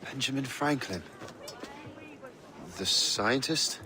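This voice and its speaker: An actor playing a principled young sea captain in a video game, with a terse, earnest manner. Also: male voice, medium pitch, slow, bright, forceful tone, a little hiss or hum. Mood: concerned. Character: brooding young sea captain, principled, resolute rogue assassin. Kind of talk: video game cutscene acting.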